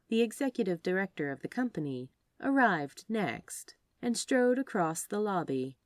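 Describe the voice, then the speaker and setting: Expressive woman narrator, very lively pitch. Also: no echo, clean studio sound. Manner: expressive read-aloud delivery. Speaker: podfic narrator. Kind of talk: scripted storybook narration.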